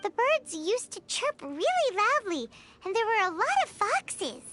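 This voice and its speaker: A woman voicing a small, childlike, curious and naive fairy companion in a video game, squeaky and exclamatory. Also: big pitch swings, measured pace, bright, forceful tone, exaggerated voice. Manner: exclamatory, inquisitive. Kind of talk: video game voice acting, scripted dialogue. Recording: game audio with background music. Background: game music.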